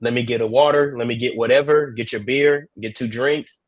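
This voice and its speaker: A man speaks at an everyday middle pitch, sharing advice in earnest and often repeating his words. He chuckles through his stories. His voice is recorded dry, close and plain. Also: fairly even intonation, brisk, no room sound. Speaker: guest traveler. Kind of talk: casual advice in a chat.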